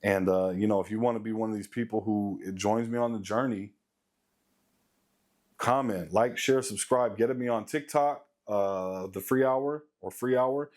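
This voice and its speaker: A man in his late thirties with a deep voice, giving a blunt, profane motivational rant to his viewers as a vlogger. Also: normal speed, a little mellow. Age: late 30s. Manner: blunt, profane, emphatic repetition. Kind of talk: casual motivational rant to camera.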